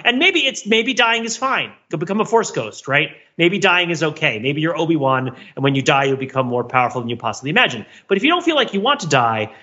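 A man's voice: a little high, sharp tone, muffled recording. A man co-hosting a podcast in casual analytical discussion, thinking aloud in long runs and often checking in with "right?". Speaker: podcast co-host.

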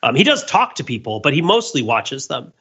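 A man hosting a casual comedy podcast, retelling stories in an animated, rambling way full of tangents. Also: medium pitch, fairly quick, husky. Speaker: podcast host. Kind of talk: casual comedic pop-culture discussion. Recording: dull lo-fi podcast audio.

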